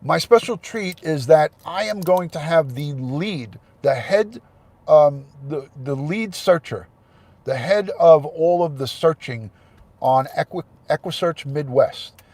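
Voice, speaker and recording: A man's voice: on the high side, animated, slow, darkish tone, dry recording. Stuffy-nosed man hosting a livestream. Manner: thanks chat viewers by name, chatty and rambling. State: congested with a head cold. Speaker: livestream host. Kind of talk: casual livestream chat.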